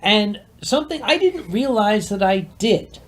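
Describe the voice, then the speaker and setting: A man with a Philadelphia accent co-hosts a casual livestream. He tells anecdotes slowly and deliberately, with a dry, teasing humour. His pitch still rises and falls a lot. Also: big pitch swings. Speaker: podcast co-host.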